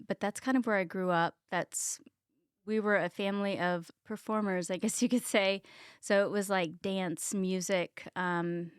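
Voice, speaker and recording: A woman's voice: lowish voice, smooth, no echo, studio quality. A Texan woman in her thirties, chatting casually as a health coach guest, with lots of ums. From Texas. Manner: chatty, many ums, laughs at herself. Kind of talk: casual interview chat.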